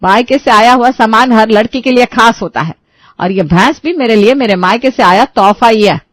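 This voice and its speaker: A woman's voice: a little high, moderate pace, dry recording, close to an everyday voice. Lady doing a bossy old indian mother in law for a cartoon, dramatic scolding, dry sound. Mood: furious. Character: elderly mother-in-law, indignant, scolding, sentimental about her buffalo.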